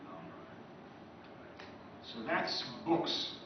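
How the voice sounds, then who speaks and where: A man speaks casually to a room full of fans, running through lists with friendly asides. He is picked up from far off in a very echoey meeting room. The sound is dull and carries a steady hiss. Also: drawn-out words. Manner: rambling, listing items, friendly asides to the audience. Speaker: author giving a convention talk. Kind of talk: informal lecture to a live audience. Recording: distant echoey room mic, muffled with background hiss.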